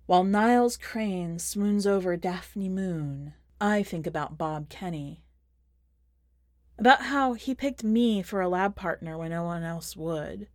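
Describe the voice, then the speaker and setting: A woman reading a first-person short story in a measured, expressive audiobook style. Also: mid-range voice, no echo, studio quality. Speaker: podcast host and fiction reader.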